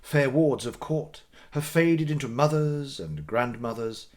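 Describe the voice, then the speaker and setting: A man narrating classic literature as a dramatic audiobook, with theatrical, deliberate phrasing and pauses for effect. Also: mid-range voice, a little mellow.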